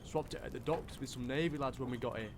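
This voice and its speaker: A man's voice: mid-range voice, fast, natural voice. A young man with a British accent playing an easygoing teenage soldier in a First World War audio drama. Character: easygoing underage British soldier, streetwise lad. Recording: noisy wartime sound effects behind.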